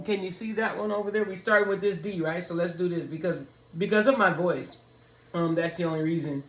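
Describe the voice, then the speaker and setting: A person with a low, deep, raspy voice gives a casual, step-by-step piano lesson for beginners and talks through each step. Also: fairly bright tone. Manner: slow, talks through each step, sings scale notes on "ho". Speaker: piano learner teaching beginners. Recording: roomy echo with piano playing.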